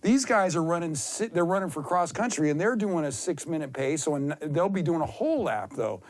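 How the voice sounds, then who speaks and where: A man in his fifties telling casual stories as an interview guest, excitable and self-deprecating, often rambling and acting out what was said. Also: a little high, brisk. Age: 50s. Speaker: endurance athlete, interview guest. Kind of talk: casual, anecdotal interview storytelling.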